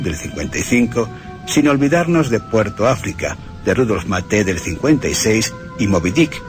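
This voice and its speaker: A man presenting a scripted radio narration about cinema and literature in a dramatic storytelling way. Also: fairly low pitch. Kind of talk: scripted film-history narration with dramatic readings.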